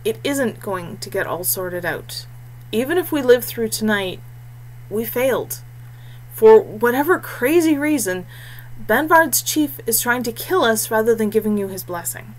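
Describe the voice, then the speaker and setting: A woman's voice: fairly high pitch, a little slow, rough recording. A woman voicing a blunt, grim and wary young male warrior in a fantasy audiobook. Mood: troubled. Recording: clear steady background noise and hum.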